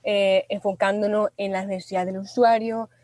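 A young Venezuelan woman answering radio interview questions as an industrial designer, pausing often with fillers and restarts. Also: medium pitch, little pitch movement, darkish tone, no room sound. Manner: hesitant, many fillers and restarts. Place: radio studio.

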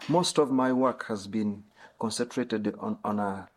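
A man's voice: on the low side, measured pace. A man explaining his historical research as an interviewee, with a few hesitations.

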